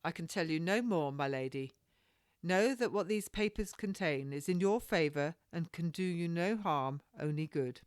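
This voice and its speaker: A woman's voice: on the low side, animated, normal speed, smooth, no echo, clean studio sound, close to an everyday voice. A woman voicing a cocky, evasive male captain from a period storybook, with flowery formal speech. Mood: earnest, secretive.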